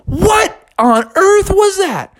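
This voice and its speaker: A man voicing an excitable young boy bear with an exclamatory, youthful manner in a children's story. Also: very high voice, a little slow, no room sound, studio quality, voice pushed up, full character voice. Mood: startled.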